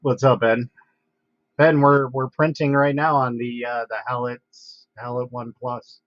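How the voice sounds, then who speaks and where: A man hosting a casual livestream, congested from a head cold, talking in a relaxed, halting way with lots of fillers and restarts. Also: medium pitch, no echo. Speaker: livestream host. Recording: dull, dry lo-fi home stream audio.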